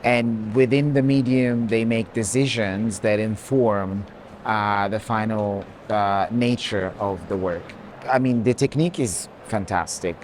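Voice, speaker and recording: A man's voice: fairly low pitch, fairly even intonation, a little slow. A man giving unscripted commentary on art in a walking conversation. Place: walking through an art fair. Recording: clear background noise of busy fair.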